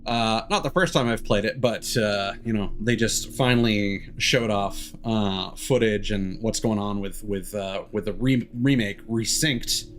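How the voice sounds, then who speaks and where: A man's voice: lowish voice, measured pace, few pauses, slight background noise. A man doing casual live stream commentary on a game, rambling and swearing casually.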